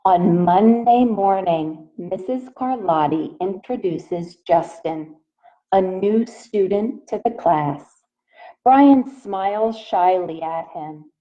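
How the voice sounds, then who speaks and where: A woman teacher reading a picture book aloud to young children in a deliberate, expressive way, speaking straight to her listeners. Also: medium pitch, muffled recording.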